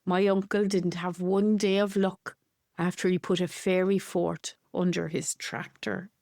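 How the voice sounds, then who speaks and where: A plain-spoken working man in a spoken storybook, superstitious and matter-of-fact, as a woman puts him on. The delivery is slow, in a dry, close room. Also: sits high, flat delivery, gravelly, a little mellow, dry recording.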